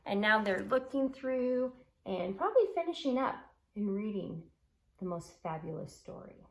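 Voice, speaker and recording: A woman's voice: mid-range voice, animated, normal speed, poor audio. A woman reading a children's story aloud expressively and explaining it simply as a teacher would.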